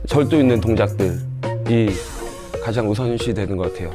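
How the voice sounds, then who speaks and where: Man, low-pitched, very quick delivery with hesitant fillers; interview answers with a music bed underneath. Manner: hesitant, many drawn-out fillers. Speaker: performance director and choreographer.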